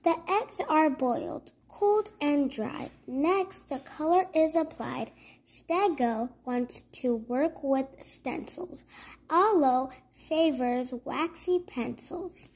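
A young child reads a rhyming storybook aloud in a high voice. The pace is slow, with careful word-by-word phrasing, like a host at story time for little ones. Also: lively intonation. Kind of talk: read-aloud of a children's rhyming picture book.

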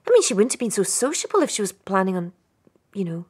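A woman's voice: medium pitch, sing-song, fast talker, a little mellow, breathy edge. A woman acting in a radio drama as a defensive, gossipy stage actress being questioned, speaking expressively. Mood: confiding, gossipy.

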